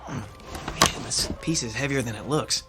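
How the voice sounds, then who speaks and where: A man's voice: medium pitch, a little slow, over loud music, close to an everyday voice. An actor playing a frustrated young theater techie who has just lost his job, talking wearily. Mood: weary. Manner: weary, grumbling.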